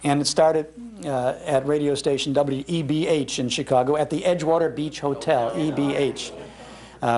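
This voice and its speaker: Older American man, higher-pitched, telling stories. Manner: animated, acts out quoted lines. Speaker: speaker giving a talk on old-time radio. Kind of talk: humorous anecdotal storytelling to a live audience. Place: public library talk.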